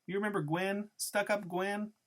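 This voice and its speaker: A man's voice: high voice, fairly even intonation, moderate pace, fairly bright tone, pitched above the performer's own voice. A man voicing a giggly, mocking, slangy tough woman in a story reading. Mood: excited recalling. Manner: teasing, taunting, slangy. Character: giggly, mocking, needling tough woman who drinks beer.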